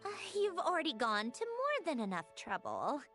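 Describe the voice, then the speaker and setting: Very animated, determined young heroine. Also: female voice, sits high, moderate pace, soft background music, exaggerated voice. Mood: polite. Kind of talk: video game voice acting.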